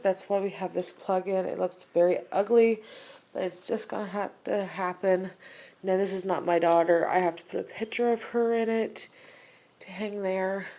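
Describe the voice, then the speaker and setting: A woman from Ohio chats through a home tour at an unhurried pace, her voice nasal from allergies. There is a gravelly edge to her tone, and she leans on frequent ums. It sounds like a phone held in her hand indoors. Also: medium pitch, darkish tone. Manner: slow, filler-heavy chatter with many ums. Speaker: home vlogger. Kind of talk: casual home tour vlog.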